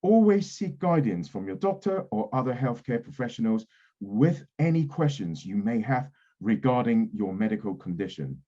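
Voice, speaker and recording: A man's voice: mid-range voice, very animated, normal speed, dark tone, highs cut off. A man hosting an online panel webinar over a video call, introducing and steering the discussion. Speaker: webinar host.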